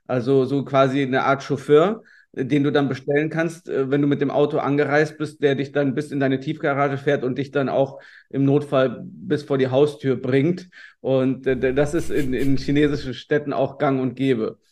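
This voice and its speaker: A man from Germany, a podcast guest, answering questions in a relaxed, anecdotal way with many fillers and restarts. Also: mid-range voice, moderate pace. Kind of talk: interview answers, storytelling.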